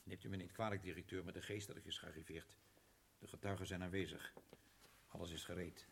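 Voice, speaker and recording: An actor playing a deferential prison guard who reports formally. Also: male voice, deep voice, fairly even intonation, a little fast, gravelly, slight background noise. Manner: formal, subservient.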